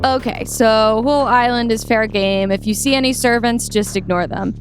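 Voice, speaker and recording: A woman's voice: fairly high pitch, steady tone, normal speed, soft background music, natural voice. A woman playing a cocky, callous rich teenage boy gamer in an audio play. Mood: smug, offhand. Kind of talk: audio play table read.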